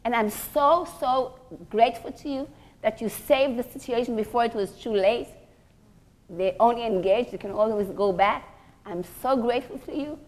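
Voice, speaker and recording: A respectable older lady, acted out by a woman telling a story, speaks with polite, pointed gratitude. Her delivery is measured, and she repeats herself for emphasis. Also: a little high, darkish tone, natural voice, low-quality recording. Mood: grateful, earnest.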